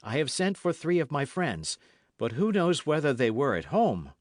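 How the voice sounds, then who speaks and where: A man's voice: on the high side, very animated, normal speed, no echo, clean studio sound, pitched above the performer's own voice. A man reading an audiobook as a brave, eager young guardsman with an emphatic, courtly way of speaking. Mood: urgent caution. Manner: emphatic, courtly, formal speech.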